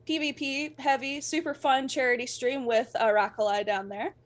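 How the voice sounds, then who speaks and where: A woman's voice: high voice, steady tone, normal speed. A woman guest on a livestream, chatting casually in a gushing, playful, informal way. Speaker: guest performer and streamer.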